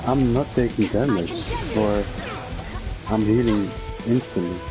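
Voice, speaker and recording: A man's voice: fairly low pitch, a little slow. A man giving casual, informal gameplay commentary as a streamer, thinking aloud as he plays. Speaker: video game streamer. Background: game music.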